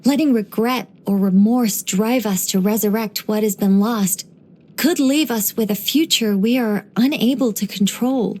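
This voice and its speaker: A woman voicing an ancient angel in a video game, dignified yet whimsical and chatty, with a measured, gently playful delivery. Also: fairly high pitch. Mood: wistful, serene. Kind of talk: video game character voice lines.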